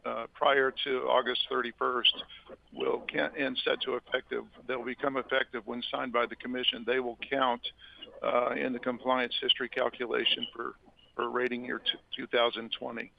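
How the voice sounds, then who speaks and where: A man from agency staff answering a commissioner's question in a halting way, with many fillers. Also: medium pitch, flat delivery, gravelly. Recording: muffled lo-fi line with faint hiss.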